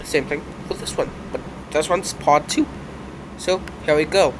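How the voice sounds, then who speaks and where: A young man with a stuffed-up, sick-sounding voice, rambling casually as he reacts to videos at his computer. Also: fairly high pitch. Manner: rambling, halting, uneven loudness. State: stuffed up from a cold. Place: indoors at a computer. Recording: noisy home recording.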